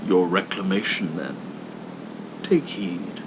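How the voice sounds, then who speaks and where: Small gentle spirit, acted by a man: soft, low, solemn and slow, storybook style, noisy recording. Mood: gently commanding.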